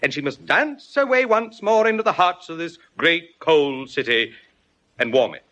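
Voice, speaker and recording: An actor playing a pompous, effusive hospital chief with a florid, grandiloquent manner in an old-time radio drama. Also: male voice, on the high side, lively intonation, moderate pace, a bit bright, lo-fi sound. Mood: gushing, persuasive. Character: pompous, effusive hospital chief, male, devoted to his wife.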